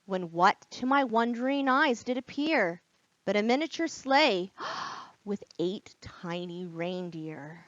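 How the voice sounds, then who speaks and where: A woman reading aloud at a children's storytime as a librarian, expressive and encouraging, speaking straight to young listeners. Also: mid-range voice, slow, no room sound. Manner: expressive, gasps and whispers for drama. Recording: dull, lo-fi sound.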